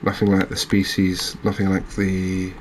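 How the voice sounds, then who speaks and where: A man with a low voice and an extremely flat, even intonation gives quiet gameplay commentary. He muses unhurriedly, pausing as he searches for words. A faint layer of ambient noise sits behind him. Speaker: host of a gaming channel playing a fishing game.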